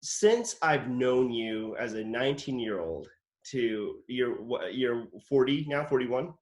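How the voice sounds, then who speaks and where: A man's voice: mid-range voice, takes it slowly. A man in his late thirties hosting an interview over a video call, chatty and admiring toward his guest. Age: late 30s. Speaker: host, actor. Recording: roomy echoey room.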